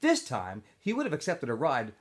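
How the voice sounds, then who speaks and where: A man, a teacher reading a novel aloud to students in an expressive, informal storytelling style. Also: mid-range voice, moderate pace.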